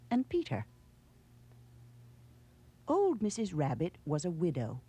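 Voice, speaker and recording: A woman reading a children's storybook in a measured, expressive storytelling manner. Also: deep voice, slightly rough. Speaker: storybook narrator. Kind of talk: scripted children's storybook narration.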